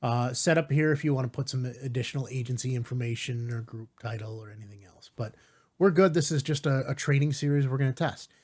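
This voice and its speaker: A man hosting a step-by-step software tutorial in an informal, reassuring way, often checking in with rhetorical asides. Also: medium pitch, fairly quick. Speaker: tutorial host.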